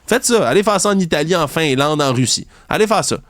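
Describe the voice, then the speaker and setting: Indignant Québécois man, higher voice, dry. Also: expressive, fast, a bit bright, no room sound. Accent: Québécois French. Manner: indignant, ranting, sarcastic, mocks opponents in high voices. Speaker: commentary show host. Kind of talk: heated opinion commentary.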